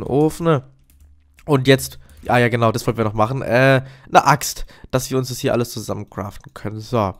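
A man with a cold, giving chatty, upbeat commentary while playing a video game and often addressing his viewers as friends. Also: mid-range voice. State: has a cold. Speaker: gaming YouTuber. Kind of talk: casual Let's Play commentary.

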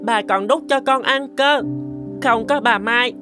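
A woman's voice: a little high, measured pace, over music, natural voice. A woman voicing a whiny, demanding, spoiled five-year-old boy in a cartoon. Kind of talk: scripted cartoon dialogue.

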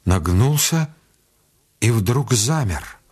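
A man narrating a scripted radio drama in a measured, literary storytelling manner. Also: mid-range voice, lively intonation, husky.

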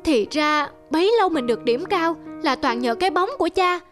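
A woman voicing a proud, eager young schoolboy in an animated film, with music behind. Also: high-pitched, a little fast. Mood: anxious.

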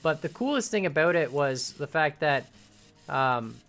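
A young man at his desk mic talks his way through a build on a live stream, wandering from thought to thought and correcting himself as he goes. His bright voice is wearing thin and turning hoarse. Soft music plays underneath. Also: a little high, animated, measured pace. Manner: rambling, self-correcting, thinks aloud. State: voice going hoarse. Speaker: game streamer. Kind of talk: casual live-stream commentary while building. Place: home room at a computer.

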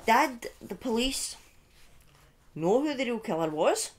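A middle-aged woman telling a true-crime story informally on a livestream, speaking deliberately with pauses and emphatic repetition, close to a desk microphone. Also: fairly high pitch, very animated, slight background noise. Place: small indoor room at home. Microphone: close desk microphone on a boom arm.

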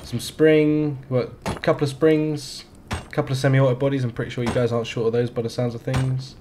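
Laid-back London gamer in a headset. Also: male voice, medium pitch, little pitch movement, darkish tone, a little hiss or hum. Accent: London English. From England. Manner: laid-back, joking, drawn-out words. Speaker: streamer. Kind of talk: casual in-game voice chat. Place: small home streaming room.

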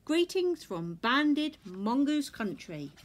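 A woman reads a children's picture book aloud with expressive, deliberate storytelling delivery. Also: high-pitched. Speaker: storybook reader. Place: indoors in a furnished room at home.

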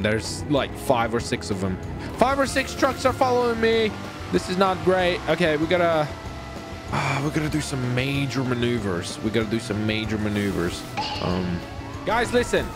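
A man giving excited, playful gaming commentary in a theatrical way, often exclaiming and repeating his phrases. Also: mid-range voice, moderate pace, music in the background. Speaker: gamer and video host.

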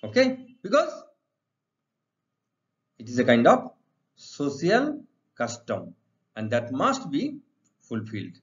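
A man's voice: mid-range voice, wildly swooping pitch, slow pace, pauses often. A middle-aged man with an Indian accent teaching an exam-preparation lesson, reading out questions and explaining the answers didactically, often repeating his phrases. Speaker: English literature teacher. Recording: dull, muffled lo-fi sound.

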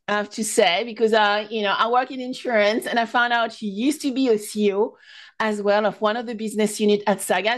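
A woman hosting a business podcast, reading a scripted introduction and then interviewing a guest over a video call. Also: a little high. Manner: enthusiastic, reads a scripted intro. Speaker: podcast host.

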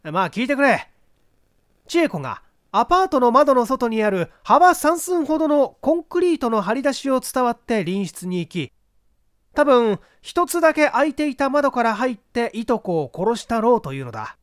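An excitable detective played by a male reader argues his theory in a mystery audiobook. His voice is high and swings widely, pressing each point with insistent energy. The sound is close and dry. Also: moderate pace, no room sound. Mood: insistent, exasperated. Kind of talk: literary audiobook character dialogue.

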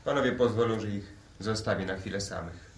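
An actor playing a composed, evasive professor's assistant with a formal, measured manner in a 1960s radio drama. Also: male voice, on the low side, natural voice. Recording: echoey room, distant, murmur of voices.

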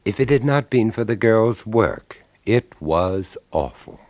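An actor narrating a radio drama as an urbane, wry storyteller of horror tales. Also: male voice, on the low side, expressive, slow, darkish tone, no room sound. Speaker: host and narrator.

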